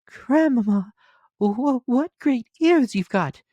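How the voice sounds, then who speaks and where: An innocent little storybook girl, very high and swooping. The delivery is wide-eyed, trusting and singsong, recorded close and dry. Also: animated, slow, mellow, no echo, muffled recording. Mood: amazed. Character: innocent sweet naive little country girl.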